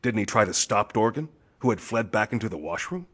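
An actor playing a hard-nosed, suspicious police detective in a pulp thriller audio drama, firing insistent, probing questions. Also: male voice, fairly low pitch, lively intonation, brisk.

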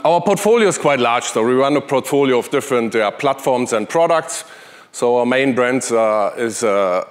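A man in his forties with a German accent, giving a conference keynote on a large hall stage through a headset mic, chatty with many fillers and restarts. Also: mid-range voice. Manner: conversational, with frequent fillers and restarts. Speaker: conference speaker, tech executive.

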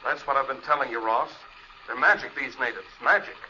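An insistent believer in voodoo, heard off an old film soundtrack. His voice is sharp and tinny, with a faint hiss. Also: mid-range voice, fairly quick. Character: insistent man who believes in voodoo magic.

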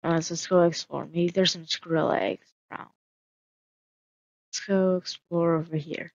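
A woman's voice: lowish voice, fairly even intonation, a little slow, gravelly, a little mellow, no echo, muffled recording. A woman casually narrating her solo game roleplay, musing aloud with hesitant fillers.

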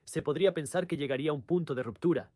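A man's voice: on the high side, racing, a little mellow, dry recording, studio quality. A man presenting a scripted explainer in the manner of a dubbed video host.

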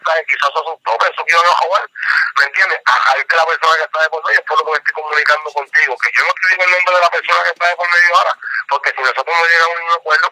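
A man talks fast and pressing, piling on threats as he keeps checking that he is understood. His voice is very bright and slightly high. It comes through a tinny, dry phone line. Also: dry recording. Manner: pressuring, intimidating, repeats "me entiendes". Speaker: phone scam caller. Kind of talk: phone call. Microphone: telephone.

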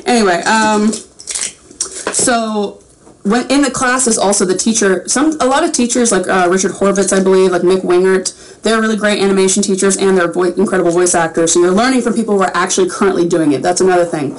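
Woman, 30s, voice actor on a casual home livestream; chatty, rambling, quick to laugh; mid pitch; desk webcam in a small room. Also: slight background noise, low-quality recording. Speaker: voice actor answering fan questions. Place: small home room with a framed picture behind.

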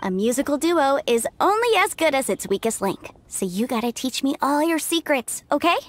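A woman's voice: sits high, sing-song, normal speed, a little hiss or hum. A woman voicing a bubbly young woman musician in a video game, eager and coaxing.

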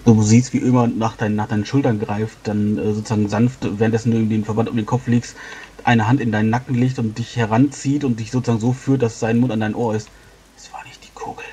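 A man narrating a tabletop role-playing scene in a halting, descriptive way. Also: on the low side, little pitch movement, mellow, slight background noise.

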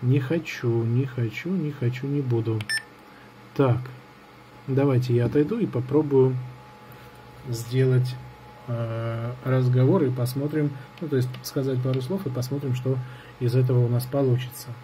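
With faint noise behind him, a man talks through a casual gadget review at a table at home. His pitch is average for a man. He improvises as he goes, hesitating and thinking aloud. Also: fairly even intonation, moderate pace, warm tone. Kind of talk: casual hands-on product review. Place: indoors at a table in an apartment. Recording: clear background noise on home recording.

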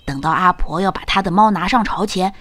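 A woman's voice: fairly low pitch, a little fast, a bit bright, no room sound. A woman playing an eager, mischievous young boy in a historical audio drama. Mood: scheming, playful.

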